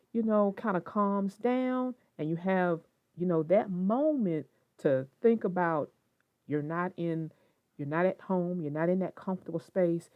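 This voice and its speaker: A woman's voice: lowish voice, no echo. A woman narrating and hosting a children's read-aloud, telling and discussing the story expressively. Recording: dull lo-fi sound, soft guitar music.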